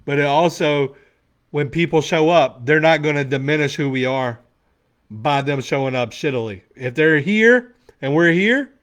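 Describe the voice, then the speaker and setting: A man's voice: on the high side, normal speed, a bit bright. A man in his forties giving a blunt, emphatic motivational talk as a men's coach, swearing freely to a room. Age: 40s.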